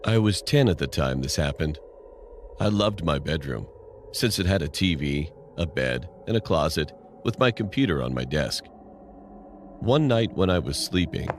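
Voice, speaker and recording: Male narrator for scary first-person stories, deep voice, heavy music bed underneath. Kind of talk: scripted first-person horror story narration. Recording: music playing loudly behind. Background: loud music.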